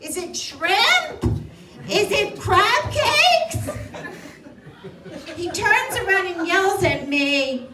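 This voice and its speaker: A woman doing a live stand-up set, telling self-mocking stories in a loud, wry voice. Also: very high voice, big pitch swings, extremely slow, long pauses, noisy background. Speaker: stand-up comedian. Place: small live venue with audience. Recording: roomy echo, distant mic.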